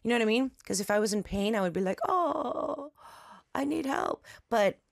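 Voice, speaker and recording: A woman hosting a radio show, chatting and reading announcements in a raspy, slightly nasal voice after an allergy attack. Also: on the high side, moderate pace, no room sound, clean studio sound. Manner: chatty, loose and lively, with fillers. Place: radio studio. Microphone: studio microphone.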